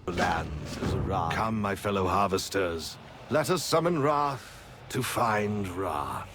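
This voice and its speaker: An actor playing a noble vampiric lord in a video game cutscene, grand and declamatory. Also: male voice, deep voice, animated, takes it slowly. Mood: rallying. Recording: loud music over the voice.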